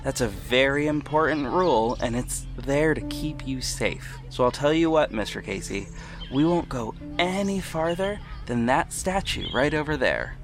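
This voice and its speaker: A man playing a kind, protective hero in an audio drama, talking gently and encouragingly as if to a small child. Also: mid-range voice, lively intonation, a little slow, music in the background. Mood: gentle, reassuring.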